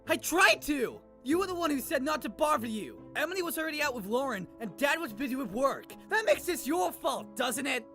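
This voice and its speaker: A man's voice: very high-pitched, sing-song, a little fast, creaky, few pauses, pushed and bright, faint music behind, full character voice. An actor playing a sullen, defiant teenage son in a visual novel game, bitter and insolent as he argues back. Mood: defiant outburst.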